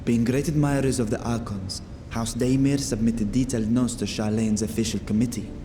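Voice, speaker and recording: A man playing a dignified, measured city ruler who speaks formally and deliberately in a video game cutscene. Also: medium pitch, fairly even intonation, mellow, slight background noise, natural voice. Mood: grave, measured.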